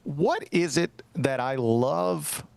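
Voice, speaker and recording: A man's voice: fairly high pitch, animated, slow, vocal fry. A middle-aged man hosting a sports talk show, chatting casually and telling a rambling, self-mocking story. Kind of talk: casual radio-style chat.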